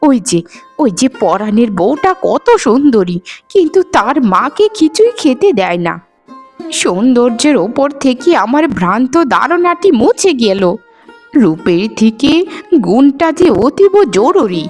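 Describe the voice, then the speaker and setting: A woman voicing an elderly, sentimental village mother in a cartoon, talking in an emotional, drawn-out way. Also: on the high side, very animated, faint music behind. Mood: tender, reflective. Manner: emotional, drawn-out address, repeats phrases. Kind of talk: Bengali cartoon voice acting.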